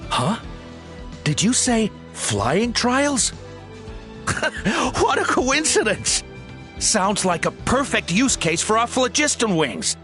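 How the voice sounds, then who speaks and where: An actor playing an upbeat, showy merchant in a video game, with a drawn-out salesman's delivery. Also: high-pitched, sing-song, bright, forceful tone, faint music behind, full character voice. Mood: eager. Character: upbeat, showy male merchant selling flying gadgets.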